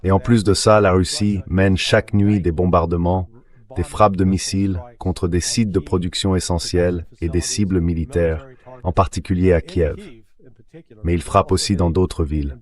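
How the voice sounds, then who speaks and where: A man giving a translated voice-over of political commentary in a measured, declarative way. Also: deep voice, fairly even intonation. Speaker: translation voice for speech and guest analysis.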